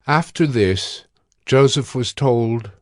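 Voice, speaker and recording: Slow, measured male narrator. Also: mid-range voice, no room sound, studio quality. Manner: measured, formal reading. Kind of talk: scripted scripture narration.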